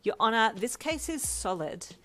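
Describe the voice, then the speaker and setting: Woman voicing a flamboyant sassy man, swooping high. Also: sing-song, normal speed, a bit bright. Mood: triumphant. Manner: theatrical, exclamatory, camp endearments.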